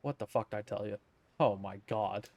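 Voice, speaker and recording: A woman streamer giving casual game commentary in a sarcastic, deadpan, sweary way. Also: very deep voice, normal speed, gravelly.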